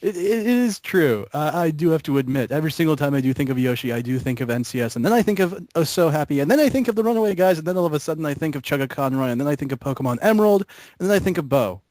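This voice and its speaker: Pria bersuara agak tinggi, mengoceh santai. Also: male voice, fairly high pitch, lively intonation, very fast, darkish tone, dry recording. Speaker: gaming group member. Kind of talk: casual rambling group chat.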